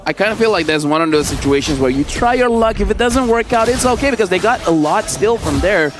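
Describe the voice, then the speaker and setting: A man's voice: high-pitched, animated, a little fast, over music. A man giving conversational analysis as an esports commentator during a live game, acting out what players might think. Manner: conversational, voices players' imagined thoughts.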